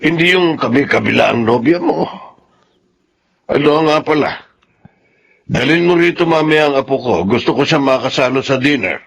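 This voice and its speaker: A man's voice: mid-range voice, expressive, normal speed, gravelly, pauses often, slight background noise. A man acting in a Filipino radio drama as a stern, domineering elderly father and grandfather who admonishes insistently.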